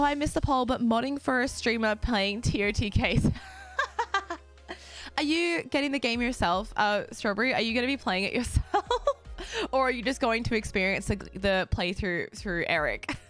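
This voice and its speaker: A young woman streaming a game and chatting casually with her viewers, bubbly and quick to laugh, her voice a little raspy from just waking up. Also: fairly high pitch, expressive, a bit bright, soft background music. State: slightly raspy, just woken up. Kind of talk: casual chat with a live stream audience.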